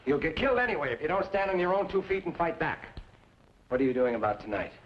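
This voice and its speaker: A dull, slightly noisy vintage radio recording. a crusading frontier townsman, fairly high in pitch, emphatic and pointed, in western radio-drama style. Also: sing-song, fast talker. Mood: defiant, urgent. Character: defiant crusading frontier townsman rallying men to fight. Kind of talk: old-time radio western acting.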